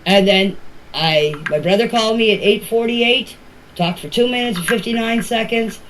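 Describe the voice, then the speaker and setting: A woman's voice: on the high side, moderate pace. Casting: mature woman, plain-spoken and colloquial, recounting events in order, homemade voice-message sound with some noise. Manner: plain, step-by-step telling in colloquial grammar. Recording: noisy voice-message clips.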